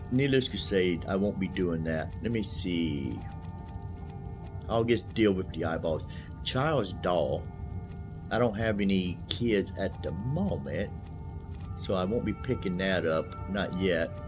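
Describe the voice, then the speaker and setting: Guy doing laid out casual gameplay commentary, kinda low voice, rambles to himself, music in the background. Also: expressive, slow pace. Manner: rambling, thinking aloud. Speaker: gaming video host. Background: game music.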